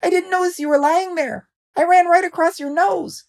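Very high, squeaky tiny storybook mouse. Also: male voice, steady tone, normal speed, gravelly. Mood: frightened pleading. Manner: polite, earnest. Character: tiny, polite, plucky male mouse. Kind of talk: storybook character voice.

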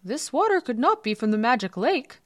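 Woman as a sharp, indignant court doctor. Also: on the high side, very animated, moderate pace, no echo, studio quality, pitched above the performer's own voice. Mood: accusing. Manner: sharp, accusing.

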